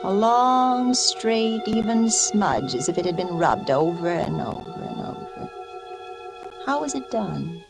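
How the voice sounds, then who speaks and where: A woman's voice: mid-range voice, sing-song, takes it slowly, vocal fry, over music, full character voice. An actress playing an anxious, secretive young wife losing her mind in a suspense radio drama, with a dramatic delivery that drops toward whispers. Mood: fixated. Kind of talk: vintage suspense radio drama acting, first-person narration.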